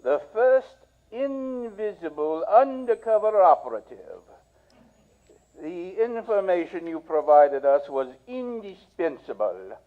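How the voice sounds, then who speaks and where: A man's voice: high-pitched, exaggerated voice. A man acting as a solemn, pompous official in a radio drama, formal and declamatory, on a slightly dull recording. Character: solemn, pompous male official praising an agent.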